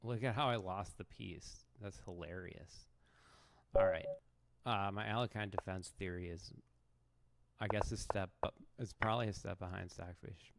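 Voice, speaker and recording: A man's voice: sits low, fairly even intonation, moderate pace, gravelly. A man streaming chess with casual, self-mocking, rambling commentary, in a noisy stream recording. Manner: self-mocking, rambling, restarts mid-thought. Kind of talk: casual live commentary while playing.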